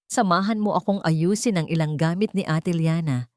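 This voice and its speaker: A woman's voice: lowish voice, moderate pace, darkish tone, no room sound, lightly acted. A woman storyteller plays a scheming young female villain in an audio story. She talks sweetly and coaxingly, hiding her intent behind a friendly tone. The recording is dry.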